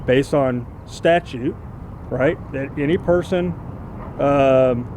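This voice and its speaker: An American man, a police sergeant, explaining the law off the cuff in a halting way, often checking he is understood. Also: medium pitch, darkish tone. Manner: halting, hedging with repeated check-in tags. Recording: clear outdoor traffic noise.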